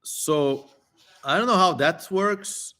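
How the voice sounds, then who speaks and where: A man's voice: a little high, takes it slowly, bright, forceful tone. A man hosting a casual livestream, reading aloud and commenting in a wry, playfully theatrical way. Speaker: livestream host.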